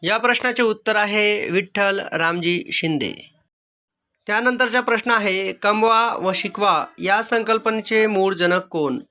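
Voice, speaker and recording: A man reading out multiple-choice quiz questions and answers as a teacher, in a measured, formulaic way. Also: sits high, bright, forceful tone. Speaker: exam-prep quiz teacher.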